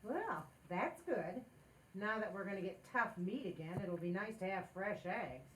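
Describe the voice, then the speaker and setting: A woman playing a scheming, excitable housewife with dry wit, wisecracking theatrically in an old-time radio sitcom. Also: medium pitch, a little slow, a little hiss or hum, close to an everyday voice, low-quality recording. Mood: wry.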